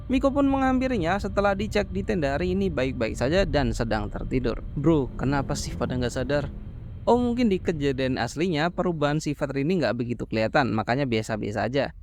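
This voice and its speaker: A man narrating a horror film recap in a casual, brisk way, dropping slangy joking asides between plot beats. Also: fairly high pitch, lively intonation, darkish tone, slight background noise.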